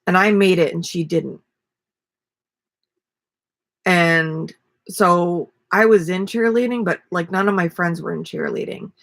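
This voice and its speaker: Woman, 40s, raspy, low-pitched, unhurried rambling chat, small camper, webcam mic. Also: no echo. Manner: relaxed, rambling, self-deprecating. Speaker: vlogger and ukulele player. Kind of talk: casual live-stream chat. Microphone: webcam at desk.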